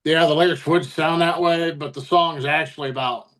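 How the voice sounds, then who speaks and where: A man answering interview questions casually as a musician, haltingly searching for his words. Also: on the high side, fairly even intonation, a bit bright.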